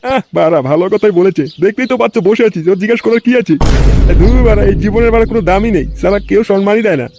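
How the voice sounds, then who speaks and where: A male actor plays a hot-headed cartoon man, talking in a very fast rush. His voice sits high and he blusters and grumbles coarsely. There is faint noise behind him. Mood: grumbling, irritated. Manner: cursing, blustering, exclamatory. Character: foul-mouthed grumbling cartoon man, quick to rage. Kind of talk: comedy cartoon dub.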